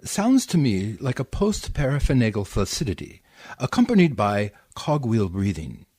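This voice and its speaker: A man's voice: mid-range voice, big pitch swings, normal speed, darkish tone, husky, no room sound. A man playing a formal, pompously clinical physician in a 1940s-style radio comedy. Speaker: community theatre actor.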